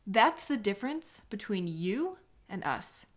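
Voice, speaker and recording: A woman's voice: medium pitch, big pitch swings, unhurried. A woman playing an embittered, contemptuous police sketch artist with a sarcastic, scornful manner in a dramatic audio roleplay. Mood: angry, disgusted.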